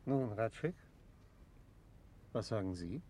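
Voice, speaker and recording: An actor playing a patient, authoritative judicial official in a German radio drama, questioning in a measured, courteous way. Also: male voice, on the low side, expressive, warm tone. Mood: stern.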